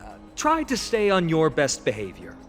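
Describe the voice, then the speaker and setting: Tactful young hero over music. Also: male voice, on the high side, wildly swooping pitch, moderate pace. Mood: hesitant tact. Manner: gentle, hesitant, polite. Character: kind, tactful, earnest young male hero. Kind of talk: video game acting.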